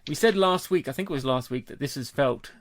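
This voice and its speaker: A man co-hosting a beer podcast, talking in a relaxed, casual way and cursing freely. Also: fairly high pitch, fast, no echo. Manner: relaxed, laid-back, swears casually. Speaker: podcast co-host. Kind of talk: casual chat.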